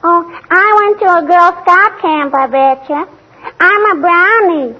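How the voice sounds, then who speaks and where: A woman's voice: extremely high pitch, a little slow, pushed and bright, full character voice. A woman playing a coy, chatty, absent-minded little girl in a 1940s radio comedy. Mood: eager, chatty. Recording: noisy muffled 1940s radio recording.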